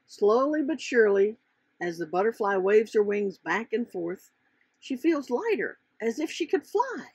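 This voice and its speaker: A woman narrates a children's storybook with very lively intonation and clear emphasis. The voice is a bit raspy, recorded close and dry, and they explain things expressively as the author of the book. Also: on the high side, unhurried, no room sound. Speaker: author reading their own children's book.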